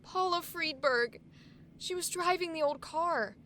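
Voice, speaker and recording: A woman's voice: extremely high pitch, a little slow, pushed and bright, full character voice. A woman acting an earnest, apologetic college-age daughter in an old-time radio drama, girlish in manner. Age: young adult. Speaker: actor in an audio drama.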